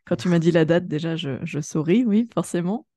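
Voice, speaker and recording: Dark-toned, enthusiastic woman podcast host. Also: lowish voice, a little fast, no echo. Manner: effusive, enthusiastic, informal. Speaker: podcast host interviewing a guest. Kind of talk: casual conversational interview.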